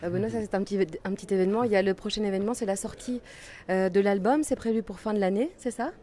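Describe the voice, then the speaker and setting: A woman interviewing a band at a festival, asking measured questions with a few fillers. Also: medium pitch, dark tone, a little hiss or hum.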